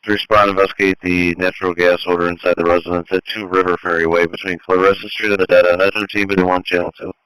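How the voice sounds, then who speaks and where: A man's voice: sits low, little pitch movement, quick pace, few pauses, a bit bright, clean tone, dry recording, low-quality recording. A man working as a fire dispatcher, making formal, procedural announcements over two-way radio. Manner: procedural, repeats addresses and units. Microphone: dispatch radio microphone. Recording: dry fire scanner radio audio.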